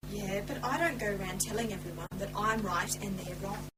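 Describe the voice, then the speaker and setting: A woman's voice: medium pitch, brisk, lightly acted. A woman playing a sullen, blunt young niece in an amateur radio play, curt and irritable. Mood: defiant. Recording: amateur recording with loud noise and hum.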